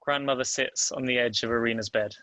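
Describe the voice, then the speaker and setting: A man's voice: mid-range voice, steady tone, fairly quick, sharp tone, no room sound. A man narrating stage directions in a scripted table read.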